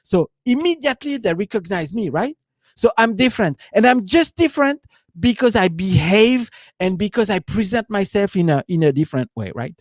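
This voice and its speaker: A man's voice: sits high, expressive, normal speed, no echo. A man with a French accent, giving a loose, rambling live-stream talk as a business coach, leaning on "you know" and "right?". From France.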